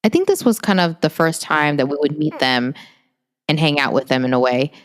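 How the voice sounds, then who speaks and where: A young adult woman co-hosting a podcast, reflecting on family memories in an unhurried, casual way. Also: sits low, expressive, long reverb tail. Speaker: podcast co-host. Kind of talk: casual chat.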